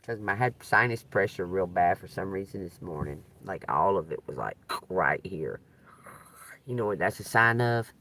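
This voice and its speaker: Man, live stream host, loud laughing storyteller, bright tense tone. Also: sits low. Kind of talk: rambling casual live stream chat.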